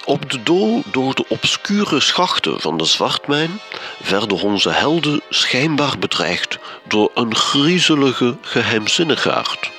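A man's voice: mid-range voice, very animated, slow, sharp tone, faint music behind, heavily acted. A man playing a pompous, self-admiring storyteller, narrating a gothic radio drama in a florid, theatrical manner. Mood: dramatic recap.

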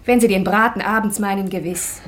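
An actress playing a loyal, sharp-tongued maidservant in a German radio play, speaking precisely and dryly, respectful yet pert. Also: female voice, mid-range voice, steady tone, brisk, natural voice. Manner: dry, respectful but pert, precise stage diction.

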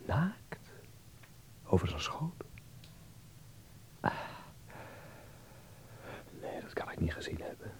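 A man's voice: on the high side, wildly swooping pitch, takes it slowly, long pauses, darkish tone, whispered, exaggerated voice. An actor in a radio drama playing a naive, prudish young Dutchman abroad who talks to himself and is easily flustered. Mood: shocked muttering. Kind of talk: radio drama monologue and dialogue.

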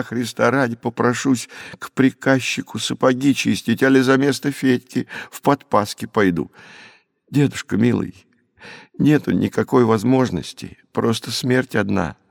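A man's voice: mid-range voice, gravelly, no room sound, clean studio sound, natural voice. A man voicing a naive orphaned peasant boy in an audiobook, pleading in plaintive, rustic folk speech.

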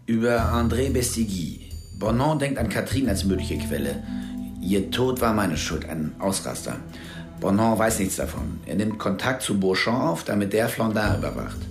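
A nervous informant, low voice, roomy sound, faint music behind. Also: male voice, lively intonation, normal speed. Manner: nervous, hesitant, pleading. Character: frightened male informant, out of his depth. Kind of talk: radio drama acting. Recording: roomy radio play with music.

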